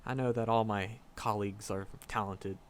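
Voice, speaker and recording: A man's voice: lowish voice, steady tone, a little hiss or hum, natural voice. A man playing a shy, flustered magic professor in a roleplay audio drama, stammering and nervous as he talks to a secret crush. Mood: nervous admiring. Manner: nervous, halting, stammering, rambling. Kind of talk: roleplay audio drama, intimate one-sided dialogue.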